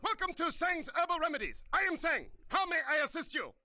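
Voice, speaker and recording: A man's voice: very high voice, very animated, a little fast, a crowd in the background. A man playing a terse, politely formal herbalist shopkeeper in a video game, speaking clipped broken English. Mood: polite, welcoming. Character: herbalist shopkeeper Seng, polite front for gun dealing. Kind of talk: video game character acting.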